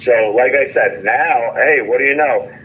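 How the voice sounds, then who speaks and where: A man's voice: medium pitch, a little slow, breathy edge. A man calling in over a noisy phone line, complaining in a frustrated, emphatic way and repeating his phrases. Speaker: jailed caller. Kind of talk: phone call venting about jail and legal case. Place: phone call from jail. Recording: tinny phone line with noise.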